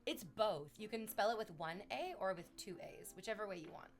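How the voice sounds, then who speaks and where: A woman's voice: medium pitch, fairly quick, faint music behind. A woman chatting casually on a livestream, rambling to her viewers and cooing at her dog in baby talk.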